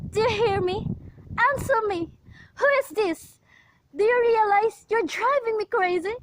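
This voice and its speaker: Actress as demanding, nervy invalid woman for a radio thriller; very high voice, imperious, agitated, repeats herself in exasperation. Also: a bit bright, exaggerated voice, poor audio. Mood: frantic, shouting. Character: nervous, demanding, bedridden invalid woman, panicky and irate. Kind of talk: amateur radio play suspense acting.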